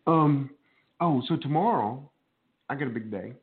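A man's voice: mid-range voice, lively intonation, normal speed. A man hosting a casual radio chat, nasal from a cold, joking and laughing often. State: getting over a cold, nasal. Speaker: host. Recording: phone-like narrow band.

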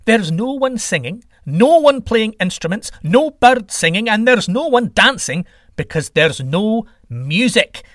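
A bossy, blustering god of the sky, performed by a male storyteller in a comic myth. He scolds impatiently and emphatically, with a high, swooping delivery and a close, dry sound. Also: sing-song, a little slow, hardly any pauses, fairly bright tone, no echo, exaggerated voice. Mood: exasperated.

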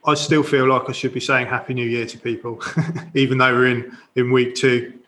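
A man's voice: mid-range voice, flat delivery, moderate pace, fairly bright tone. A man hosting an interview podcast, introducing his guest and asking questions in a friendly, chatty way. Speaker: podcast host.

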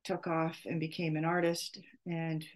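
A middle-aged woman gives an unscripted podcast introduction. Her voice sits slightly low and stays quite flat. She speaks slowly and haltingly, often pausing to find the next word. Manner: hesitant, halting, searching for words. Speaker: podcast host. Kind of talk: off-the-cuff solo podcast introduction.

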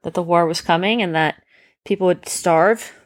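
A woman's voice: on the low side, normal speed. A woman reading a children's bedtime story as a parent, explaining and checking with questions.

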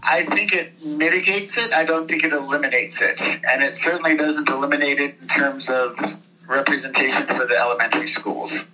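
Very bright, higher-voiced man answering questions. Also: fairly quick, slightly rough, low-quality recording. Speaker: school board president being interviewed. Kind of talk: unscripted interview answers.

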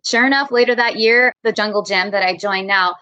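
A woman's voice: medium pitch, a little fast, sharp tone, no room sound, dull audio. A woman speaking as a podcast guest in a casual interview, upbeat and friendly, laughing easily.

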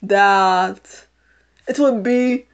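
A woman's voice: mid-range voice, drawn-out words, smooth, lo-fi sound. A middle-aged woman from Haiti with a British-sounding accent, rambling through a casual solo podcast, repeating herself and laughing often. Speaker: podcast host.

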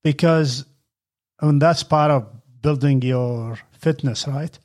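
A middle-aged man with a husky voice hosts a casual chat. He talks in a relaxed, halting way, with plenty of fillers and restarts. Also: mid-range voice. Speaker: podcast host, father.

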